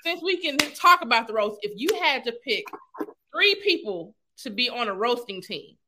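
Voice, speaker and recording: A woman's voice: a little high, sing-song, normal speed, background noise. A woman from Los Angeles hosting a casual interview, asking questions and laughing easily. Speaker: host.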